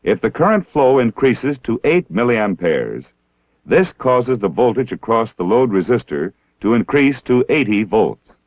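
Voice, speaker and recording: An American man narrating a scripted training film in a formal, measured, precisely enunciated way. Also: medium pitch, very animated, dry recording. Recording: dull 1960s film soundtrack.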